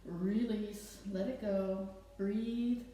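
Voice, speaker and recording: A woman fitness instructor with a slight Dutch accent, leading a guided stretching class with gentle, encouraging cues. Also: medium pitch, fairly even intonation, very slow, far from the mic, slight background noise. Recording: echoey room, voice off-mic.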